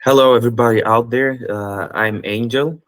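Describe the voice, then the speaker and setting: A man's voice: mid-range voice, steady tone, normal speed, no room sound. A man from North Macedonia answering interview questions in short, hesitant replies. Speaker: guest, student seller.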